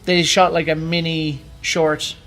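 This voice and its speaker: A man's voice: a little high, level tone, a little slow, fairly bright tone, soft background music. A man co-hosting a podcast, chatting casually about films and games. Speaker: podcast co-host.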